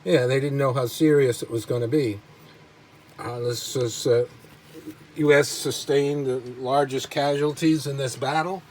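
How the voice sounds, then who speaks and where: A man with a mid-range voice talks casually through items in a collection. He sounds informal and enthusiastic, rambling along without a script. There is clear noise behind his voice. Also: mid-range voice, steady tone, measured pace, a little mellow, low-quality recording. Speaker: collector presenting models and memorabilia. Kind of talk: casual unscripted show-and-tell narration.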